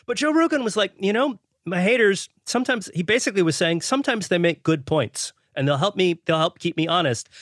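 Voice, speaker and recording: A male podcast panelist with a mid-pitched voice talks through an argument. He often repeats words as he works toward his point. The sound is dry and close. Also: fairly bright tone, dry recording. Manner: thoughtful, repeats words while building a point. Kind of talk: casual panel discussion.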